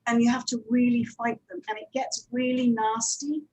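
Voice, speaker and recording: A woman with a slightly high voice talks on a panel as a producer. She explains practical matters step by step, pausing and hesitating as she goes. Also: steady tone, darkish tone, low-quality recording. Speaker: audio drama producer and director, panelist.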